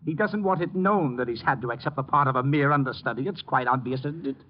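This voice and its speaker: An actor playing a vindictive, haughty theater producer in a radio drama, speaking with pompous, menacing deliberation. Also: male voice, mid-range voice, quick pace, lightly acted. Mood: scornful. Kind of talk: 1950s radio drama acting. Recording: dull, faintly noisy old radio recording.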